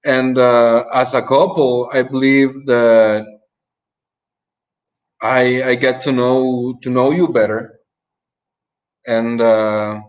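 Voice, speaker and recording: Young male panelist, slow and halting, searching for words. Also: medium pitch, level tone, poor audio. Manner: hesitant, many restarts and "uh"s. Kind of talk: casual panel discussion.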